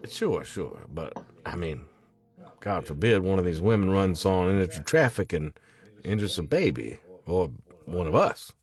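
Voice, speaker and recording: An actor reading a pious, politely pushy activist in a film script, with a hesitant, ingratiating, folksy manner. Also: male voice, deep voice, animated, measured pace, darkish tone, soft background music. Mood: politely pressuring. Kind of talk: table read of a film script.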